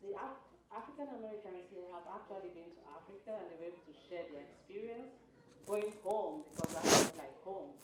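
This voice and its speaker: A man's voice: high-pitched, expressive, a little fast. Man from Nigeria, conversational, distant in a very roomy hall with noise behind. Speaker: event attendee.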